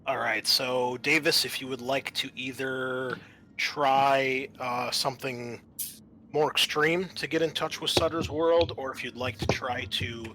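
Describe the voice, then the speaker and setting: A man's voice: mid-range voice, steady tone, measured pace, bright, forceful tone, a little hiss or hum, rough recording. A man working as game master in a tabletop roleplaying game, explaining things in a conversational way. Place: online voice call.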